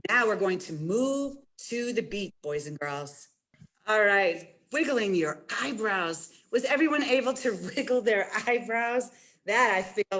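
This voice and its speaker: A woman's voice: medium pitch, fairly bright tone. A woman presenting a storytime as a children's librarian, talking directly to young listeners in an encouraging way and reading a picture book aloud.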